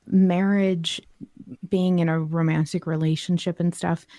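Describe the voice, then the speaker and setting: Woman podcast host, soft dark mellow tone, dry mic, explains stuff in a measured reflective way. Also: low voice, no echo. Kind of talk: reflective discussion.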